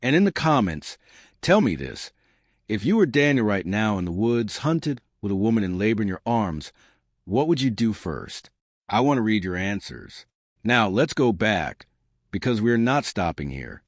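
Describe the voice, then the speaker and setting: A man's voice: on the low side, sing-song, normal speed, no room sound, clean studio sound. A man narrating a scripted dramatic story as a suspenseful storyteller who speaks straight to the listener. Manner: suspenseful, addressing listeners directly.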